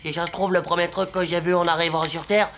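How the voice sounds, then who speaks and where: A woman voicing a cheeky, dramatic schoolboy who grumbles in slang, in an animated series. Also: lowish voice, fast, slight background noise, natural voice. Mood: indignant. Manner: slangy, exaggerated complaining. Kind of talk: French animated series dialogue.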